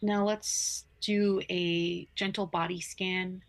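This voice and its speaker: Slow, even-toned woman guiding meditation. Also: on the low side. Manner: soothing, unhurried, with long pauses between short phrases. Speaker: yoga nidra guide. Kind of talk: guided relaxation meditation, slow spoken instructions.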